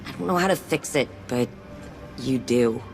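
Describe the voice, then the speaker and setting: A woman's voice: extremely low pitch, moderate pace, poor audio. An actress playing a headstrong woman scientist adventurer in a video game, sardonic and casual. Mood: dry, determined. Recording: loud music under the voice.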